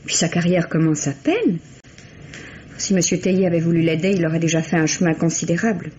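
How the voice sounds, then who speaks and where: Actress as a composed young secretary, muffled recording. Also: female voice, lowish voice, a little fast, dark tone, natural voice. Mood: guarded. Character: composed, reserved young secretary, coolly candid witness. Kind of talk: French radio mystery play acting. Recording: muffled, noisy old radio recording.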